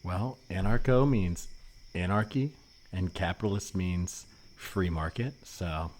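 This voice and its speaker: A man's voice: sits low, slow pace, darkish tone. A man playing an earnest, wonkish libertarian in improvised comedy, explaining things in a pedantic manner. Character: earnest, wonkish anarcho-capitalist reading economics on park bench. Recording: noisy remote recording.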